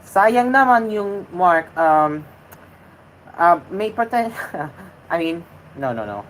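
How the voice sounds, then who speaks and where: A woman's voice: on the low side, big pitch swings, slow, slight background noise, rough recording. A woman hosting a casual livestream, speaking hesitantly with many fillers and restarts and switching between Tagalog and English, which is her second language after English. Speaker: host of a livestreamed show.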